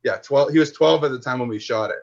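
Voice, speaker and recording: A man's voice: medium pitch, quick pace, vocal fry, a little mellow. A man in his twenties, a podcast guest and filmmaker, chatting casually in a rambling, slangy way. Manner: rambling, slangy, full of "like" and "dude". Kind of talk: casual chat. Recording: dull lo-fi sound.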